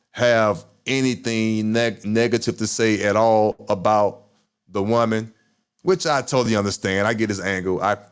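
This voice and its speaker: A man's voice: fairly low pitch, smooth. A man hosting a casual live podcast chat, talking with a stutter and repeating his words. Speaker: podcast host.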